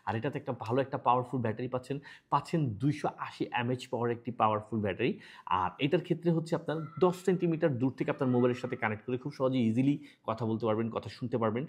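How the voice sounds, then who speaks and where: Male Bangladeshi product presenter, rapid-fire delivery, slightly rough mid-pitched voice, informal persuasive selling. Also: a little mellow. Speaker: online shop product presenter.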